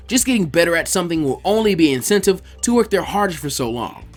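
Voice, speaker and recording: A man narrating fan fiction as a scripted story reading. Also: a little high.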